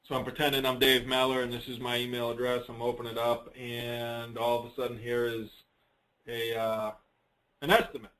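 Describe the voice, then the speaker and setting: A man giving a casual, unscripted software walkthrough on a conference call, rambling a little and thinking aloud as he presents. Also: medium pitch, monotone, normal speed, poor audio.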